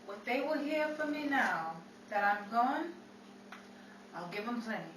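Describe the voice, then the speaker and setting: A roomy, distant recording of an actress as a defiant young showgirl in a 1930s-style radio play. Her voice is on the high side, brash and driven, with dramatic delivery. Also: animated, a little slow. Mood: bitter, musing. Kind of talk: 1930s old-time radio drama acting. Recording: roomy, distant mic with faint noise.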